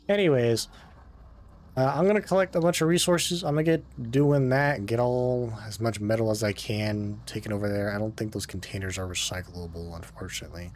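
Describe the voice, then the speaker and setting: A man's voice: on the low side, a little hiss or hum. Rambling man narrating his gameplay. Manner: rambling, talks to himself, self-mocking; trails into mumbling and humming while playing. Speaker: gaming channel host. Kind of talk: casual gameplay commentary.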